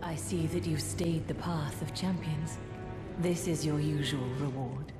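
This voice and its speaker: An actress voicing a grave, guilt-ridden mother who runs a manor, speaking in measured, solemn, formal lines. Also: sits low, fairly even intonation. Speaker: game character. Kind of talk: video game cutscene acting. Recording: roomy echo. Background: game music.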